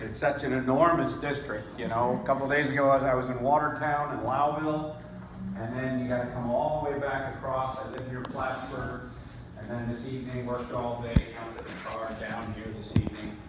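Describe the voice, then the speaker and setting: A man's voice: mid-range voice, fairly even intonation, normal speed, background noise. Man, 50s, campaign speech, folksy and rambling, heavy room echo, distant mic. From northern New York. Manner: conversational, folksy, with fillers and repeated words. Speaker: congressional candidate. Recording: echoey room recorded from far off.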